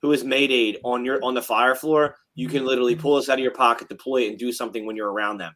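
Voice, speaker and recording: A man's voice: medium pitch, steady tone, fast, fairly bright tone. Blunt, profane firefighter in his thirties. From New Jersey. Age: 30s. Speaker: firefighter podcast host. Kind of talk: casual chat.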